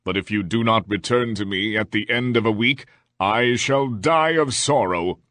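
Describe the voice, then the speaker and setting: A man's voice: on the low side, moderate pace, a bit bright, no echo, lightly acted. A man doing a sorrowful lovesick beast. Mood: pleading.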